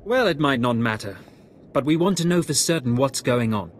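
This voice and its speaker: An actor playing a deferential yet disdainful young military ensign in a video game, formal and clipped in manner. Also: male voice, mid-range voice, animated, moderate pace, slight background noise, natural voice. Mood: earnest, formal. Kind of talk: video game dialogue acting.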